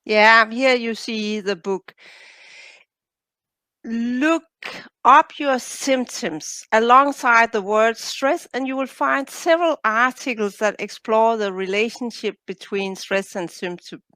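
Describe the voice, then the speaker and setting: A woman's voice: a little high, slow pace, bright, forceful tone, no echo. A woman from Denmark with a Danish accent, speaking English as her second language, answering interview questions carefully as an author and coach.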